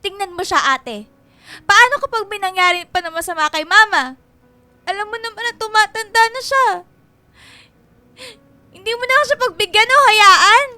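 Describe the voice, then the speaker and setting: A woman's voice: very high voice, normal speed, long pauses, bright, forceful tone, no room sound, exaggerated voice. A woman playing an emotional, whiny younger sister in a Filipino radio drama, easily upset. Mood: blaming, upset. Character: tearful, blaming younger sister.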